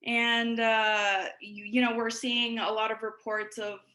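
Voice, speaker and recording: A woman from Ecuador speaking as a panelist in an online panel discussion, earnest and full of fillers and pauses. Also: a little high, level tone, sharp tone, roomy sound.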